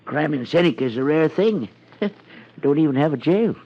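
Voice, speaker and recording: An actor playing an earnest, elderly small-town supervisor in a 1950s radio drama, polite, hesitant and beseeching. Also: male voice, on the high side, animated, moderate pace. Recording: muffled 1950s radio recording.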